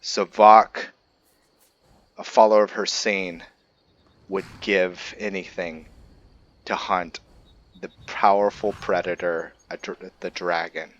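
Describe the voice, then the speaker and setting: A man doing roleplay game commentary and reading aloud as a channel host, halting and often stumbling over his words and restarting. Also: low-pitched, little pitch movement, muffled recording.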